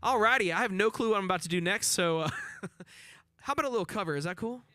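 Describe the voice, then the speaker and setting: A man's voice: high voice, expressive, fast talker. A man from Nashville, a singer-songwriter guest chatting loosely between songs in a radio station studio, laughing and using lots of fillers. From Nashville, Tennessee. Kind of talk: casual chat between songs. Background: acoustic guitar.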